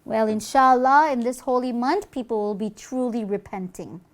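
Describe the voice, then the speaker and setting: A woman's voice: a little high, moderate pace, close to an everyday voice. A woman playing a pious believer in a puppet show, speaking earnestly and reproachfully to a demon. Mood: earnest, resolute.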